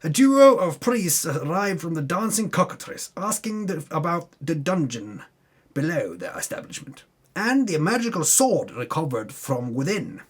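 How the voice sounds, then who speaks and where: A man with a fairly high, husky voice reads a story recap as theatrical narration. His pitch swings widely for dramatic effect. He often breaks off into sweary, off-the-cuff asides about his own slips. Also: very animated, normal speed. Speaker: host recapping a tabletop game campaign.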